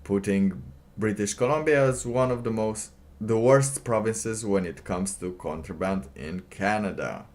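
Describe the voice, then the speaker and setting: A man doing casual livestream commentary, reading aloud and reacting in a halting, sardonic way, often repeating his phrases. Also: on the low side, darkish tone. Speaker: livestream host.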